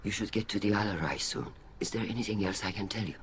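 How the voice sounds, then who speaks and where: An actor playing an older alien admiral in a video game, grave, guarded and measured. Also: male voice, lowish voice, close to an everyday voice. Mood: grave, earnest. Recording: clear background noise.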